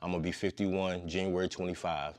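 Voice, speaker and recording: A man's voice: deep voice, level tone, brisk. A man in his fifties talking as a podcast guest in a laid-back, slangy, long-winded way. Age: 50s. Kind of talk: casual conversation.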